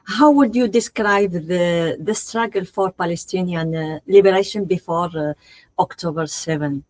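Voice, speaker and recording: A woman's voice: on the low side, moderate pace, darkish tone, dry recording. A woman hosting a conference interview, speaking hesitantly and with many fillers. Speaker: conference host.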